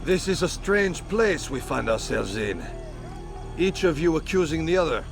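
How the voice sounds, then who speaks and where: A man's voice: on the high side, big pitch swings, normal speed, creaky. An actor playing a proud, pious crusader king in a video game, speaking in a regal, declamatory way. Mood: solemn, commanding. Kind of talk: dramatic video game cutscene acting. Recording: music behind the voice.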